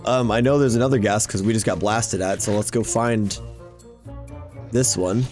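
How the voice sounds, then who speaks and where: Guy doing chatty gaming video, really animated pitch swings, bit of music behind him. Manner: animated, exclaiming, talking to himself. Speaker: gaming YouTuber hosting a Minecraft series. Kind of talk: casual gameplay commentary.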